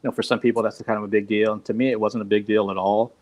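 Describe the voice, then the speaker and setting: A man's voice: lowish voice, flat delivery, very fast, a little mellow. A man in his fifties chatting casually on a video call, rambling and self-deprecating and laughing often. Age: 50s. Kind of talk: casual chat.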